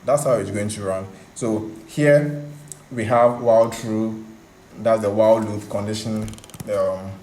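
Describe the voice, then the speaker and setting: A man hosting a tutorial, explaining in a casual, conversational, halting way and often repeating himself. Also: lowish voice, fairly even intonation, darkish tone. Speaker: host of a coding tutorial channel. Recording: roomy echo, faint background noise.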